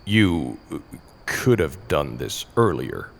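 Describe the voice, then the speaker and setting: An earnest fantasy adventurer, acted by a man, incredulous and exasperated. The voice is deep and creaky, with halting audio-drama delivery. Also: sing-song, heavily acted. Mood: baffled, wary.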